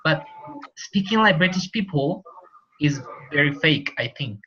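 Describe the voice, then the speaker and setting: A man's voice: fairly high pitch, expressive, a little slow, pushed and bright, faint music behind. A male teacher with a Colombian accent, explaining points in an online class and repeating key terms. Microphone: video call. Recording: muffled video call audio.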